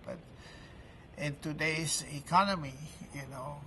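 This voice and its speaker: A man in his late seventies gives an informal testimonial at a trade event, talking haltingly and often restarting words with fillers. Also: a little high, creaky, pushed and bright, a little hiss or hum, poor audio. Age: 70s. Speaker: product promoter at an event booth.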